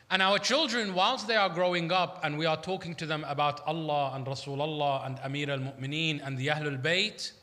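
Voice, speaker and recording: Bright-voiced male preacher in an echoey hall. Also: a little high. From Iraq. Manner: conversational, emphatic, uses rhetorical questions. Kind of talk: sermon. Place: large hall at an Islamic centre. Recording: echoey hall with long reverb.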